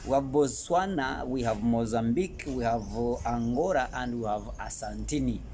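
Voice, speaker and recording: Ugandan male educational presenter, emphatic and halting delivery, mid-pitched voice, some background noise. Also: darkish tone. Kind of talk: informal educational presentation to camera.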